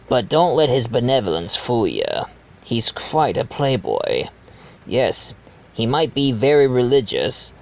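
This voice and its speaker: A man's voice: mid-range voice, a little mellow, lo-fi sound. A man playing a genial but scheming wealthy host in an amateur murder-mystery audio drama, speaking formally and courteously. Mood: sly, confiding. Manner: formal, deliberate, addresses guests courteously. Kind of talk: amateur audio drama acting, part narration.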